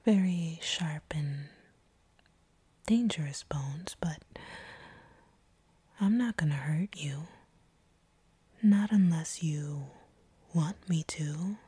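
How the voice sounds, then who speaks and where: A sadistic, seductive vampire played by a woman in an intimate audio roleplay. She whispers very breathily and unhurriedly, in a low register, teasing and commanding her captive. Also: extremely slow, long pauses, dark tone, breathy edge, no echo, full character voice. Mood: teasing menace.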